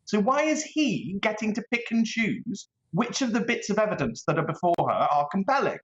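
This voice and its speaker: A man's voice: high-pitched, sing-song, moderate pace, a bit bright. A British man giving emphatic interview commentary as a guest, over an echoey, dull remote link.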